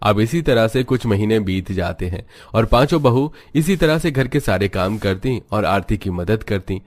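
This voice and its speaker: A man's voice: fairly low pitch, lively intonation, moderate pace, a little mellow. A man telling a scripted moral story as its narrator.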